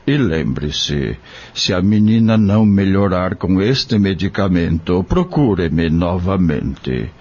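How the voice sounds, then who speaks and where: A man playing a kind, devout country doctor in an audio drama, speaking solemnly and gently, like a fatherly preacher. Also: low voice, expressive, slow, dull audio, full character voice. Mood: gravely advising.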